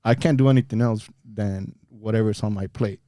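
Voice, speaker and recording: A man's voice: on the low side, moderate pace, mellow, no room sound. A young man from Mexico, a podcast guest talking bluntly and swearing freely in casual chat.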